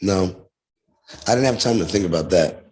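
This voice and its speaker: A man with a raspy, hoarse voice, chatting casually as a guest in a music studio, laid-back and rambling with many fillers. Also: low voice, moderate pace, darkish tone. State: hoarse, losing his voice. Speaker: guest, venue crew member. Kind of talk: casual chat.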